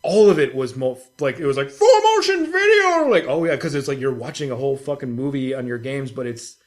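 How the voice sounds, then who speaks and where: A man co-hosting a gaming podcast in casual, sweary chat, telling stories in an animated, informal way. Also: medium pitch, fairly quick. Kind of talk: casual chat about video games.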